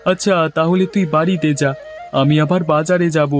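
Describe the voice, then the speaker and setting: A man's voice: fairly high pitch, steady tone, normal speed, lightly acted. A man playing a farmer's curious, friendly village pal in a cartoon moral story, with a casual manner. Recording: music under the voice.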